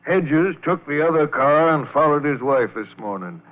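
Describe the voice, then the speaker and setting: A man's voice: on the high side, animated, normal speed, lightly acted. An actor playing a folksy, cautious older American man with a sly, colloquial drawl in an old radio drama. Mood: sly, fatherly. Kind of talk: 1940s-50s radio drama acting. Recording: tinny old radio, narrow band.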